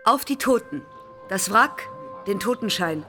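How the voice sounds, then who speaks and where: A woman's voice: medium pitch, animated, a little slow, pushed and bright, soft background music. An actress in a radio play playing a probing, insistent woman who presses moral questions like a reporter. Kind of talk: German radio play acting.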